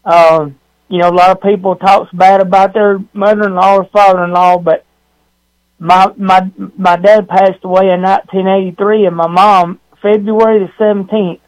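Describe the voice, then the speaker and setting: Man caller, high voice, halting sincere phone-in talk, dry sound. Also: level tone, no echo, low-quality recording. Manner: halting, many fillers and restarts, sincere. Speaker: radio show caller. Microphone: phone line.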